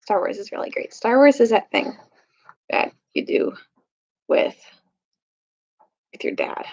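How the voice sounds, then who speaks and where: Halting woman storyteller, dull lo-fi sound. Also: fairly high pitch, lively intonation, darkish tone. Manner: halting, searching for words, self-correcting. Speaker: storytime teacher reading aloud. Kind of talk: casual recap and storybook read-aloud. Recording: dull lo-fi recording.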